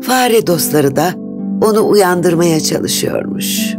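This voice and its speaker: A woman's voice: on the low side, expressive, slow. A woman reading a scripted children's fairy tale as narrator. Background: instrumental music.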